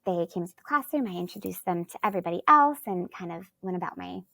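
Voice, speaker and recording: A woman's voice: on the low side, expressive, fast, creaky, pushed and bright, dry recording. A woman from Alaska, a third-grade teacher and guest, thinking aloud in a rambling way with many restarts and "you know"s. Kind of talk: conversational interview answers.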